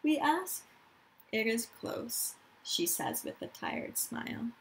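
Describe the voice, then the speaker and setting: Slow-paced woman reading to children. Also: on the high side, sing-song, slight background noise. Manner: dramatic, deliberate storytelling delivery, addressing children directly. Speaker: teacher reading a picture book aloud to pupils. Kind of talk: expressive children's story read-aloud with explanatory asides.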